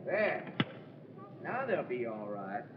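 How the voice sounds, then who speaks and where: An actor playing a plain-spoken husband with folksy, ungrammatical speech in an old radio drama. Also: male voice, medium pitch, big pitch swings, takes it slowly. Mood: puzzled. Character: plain-spoken husband soothing his wife, turning terrified. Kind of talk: 1940s radio acting. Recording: muffled, hissy old radio recording.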